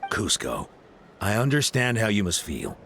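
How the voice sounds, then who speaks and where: An actor playing a reasonable coach and community leader in a video game, explaining things in a measured way. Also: male voice, lowish voice, huge pitch swings, a bit bright, slight background noise, exaggerated voice. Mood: reassuring.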